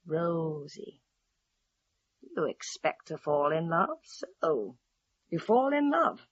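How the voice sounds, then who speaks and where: Husky, embittered mother. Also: low-pitched, sing-song, takes it slowly, exaggerated voice. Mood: weary, cynical. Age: middle-aged. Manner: scornful, theatrical. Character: embittered, disillusioned middle-aged mother, quick to attack. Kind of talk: British radio drama acting. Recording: dull, echoey radio play recording.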